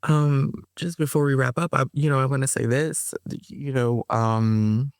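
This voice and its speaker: A woman's voice: a bass voice, steady tone, a little slow, darkish tone, no echo, clean studio sound. A woman author and performer answering interview questions in a loose, rambling way, full of ums and restarts, with a playful streak. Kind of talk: casual interview talk.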